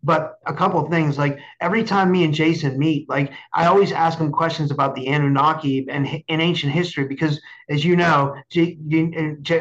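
A man's voice: on the high side, fairly bright tone, lo-fi sound. A man hosting an interview in a casual, rambling, repetitive way, often checking that he is understood. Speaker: podcast host interviewing a guest. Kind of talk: casual chat.

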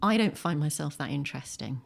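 A British woman in middle age speaks in a low-pitched voice. She talks as a guest in a relaxed interview, thinking aloud and telling small anecdotes. Her delivery is wry and gently self-mocking. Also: fairly quick, vocal fry. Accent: British English. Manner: wry, self-deprecating humour, chuckles while talking. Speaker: poet and short story writer, podcast guest. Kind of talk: conversational interview, reflective and anecdotal. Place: at home, indoors.

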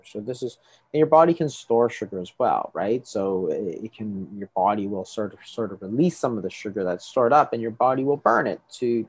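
A man's voice: lowish voice, a little mellow, husky, dry recording. A middle-aged man, a doctor, explaining things to an interviewer, often restarting and checking with "right?". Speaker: doctor treating patients.

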